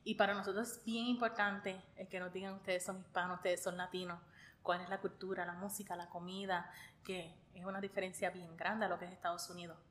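A woman's voice: fairly low pitch, little pitch movement, brisk, a bit bright. Puerto Rican woman, casual storyteller. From Puerto Rico. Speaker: podcast guest telling her immigration story.

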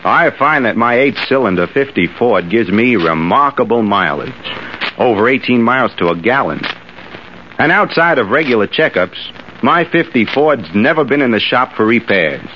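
A man's voice: mid-range voice, expressive, normal speed. An American man reading a scripted customer testimonial for an old radio advertisement. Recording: muffled old radio recording, faint noise.

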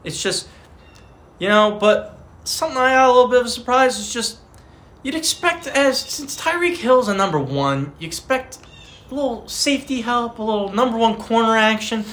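A man's voice: extremely high pitch, animated, moderate pace, a bit bright. A man co-hosting a casual fantasy football podcast, joking and trash-talking in slangy banter. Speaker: podcast co-host.

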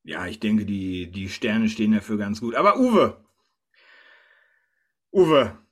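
A man's voice: fairly low pitch, animated, slow. A man hosting a casual podcast in a chatty, teasing way. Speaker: podcast host.

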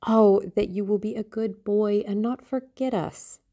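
Gushing lovestruck teenage girl, acted by a woman, lifted pitch. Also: fairly even intonation, a little slow, warm tone. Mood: playful. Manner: gushing, theatrical, exclamatory. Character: romantic, dreamy, impulsive teenage girl in love. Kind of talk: audiobook character acting.

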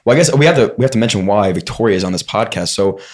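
Young male host, low voice, loose and jokey interviewer. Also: rapid-fire. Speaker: podcast host. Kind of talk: casual podcast chat.

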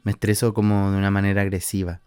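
A man's voice: on the low side, level tone, fast talker, a little mellow, smooth, no room sound, studio quality, soft background music. A man in his twenties with a Chilean accent, chatting casually and slangily as a podcast host from his house in the countryside. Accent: Chilean Spanish. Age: 20s. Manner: chatty, slangy, swearing, filler-heavy. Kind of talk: casual monologue.

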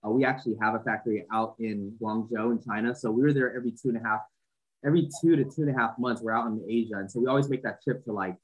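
A man's voice: mid-range voice, fairly even intonation, fast talker, breathy edge, poor audio. A man in his forties, raised in Southern California, talking loosely as a podcast guest with lots of fillers and restarts. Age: 40s. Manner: rambling, many fillers and restarts. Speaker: podcast guest, fashion entrepreneur. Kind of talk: casual interview chat.

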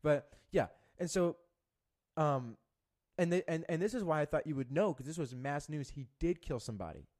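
A man's voice: on the high side, animated, normal speed, darkish tone. Rambling, cursing young male podcast host. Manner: profane, rambling storyteller with many restarts. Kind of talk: casual chat.

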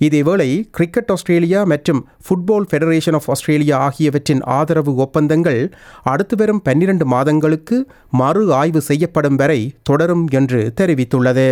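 A man reading a scripted news bulletin as presenter, in a formal, measured manner. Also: fairly high pitch, darkish tone.

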